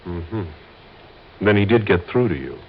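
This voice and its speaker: Cocky low-voiced radio gangster. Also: male voice, animated, unhurried, full character voice. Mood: smooth, sly. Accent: American. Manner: slangy, smug, threatening. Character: cocky, menacing male gangster killer. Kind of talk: 1950s radio crime drama acting. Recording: muffled old radio recording.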